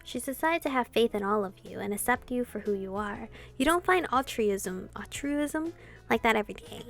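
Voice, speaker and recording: A woman streamer reading game dialogue aloud and reacting with casual, expressive commentary. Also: fairly high pitch, normal speed. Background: game music.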